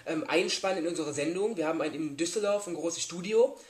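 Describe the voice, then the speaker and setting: A man's voice: a little high, fairly quick. A young adult man making a polite, hesitant sales-style prank phone call from a living room. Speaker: prank caller, show host. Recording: echoey room.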